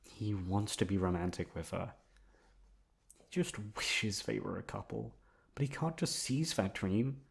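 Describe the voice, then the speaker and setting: A man playing an obsessive, lovesick young man in an intimate ASMR roleplay, coaxing softly with drawn-out words and emphatic repetition. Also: lowish voice, vocal fry. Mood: wistful, coy. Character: obsessive lovesick young man confessing to his best friend.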